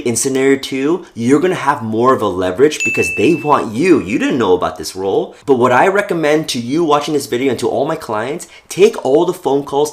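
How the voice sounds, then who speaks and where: A man with a medium-pitched voice presents career advice straight to the viewer. He sounds like an energetic coach, emphatic on the important points. His wording stays conversational and informal throughout. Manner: upbeat, energetic, emphatic delivery. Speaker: career coach and video host. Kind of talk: direct-to-camera advice video.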